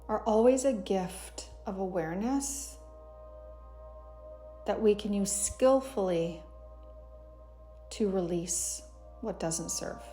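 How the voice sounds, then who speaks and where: A woman yoga teacher leads a guided meditation at a very slow pace. Her voice is mellow and relaxed, with a gentle, soothing delivery. Music plays behind her as she gives unhurried instructions. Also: mid-range voice.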